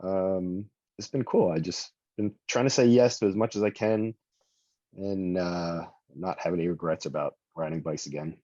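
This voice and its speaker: Middle-aged man, guest, low mellow voice, relaxed rambling, dull lo-fi sound. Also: moderate pace, no echo. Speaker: podcast guest. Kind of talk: casual storytelling.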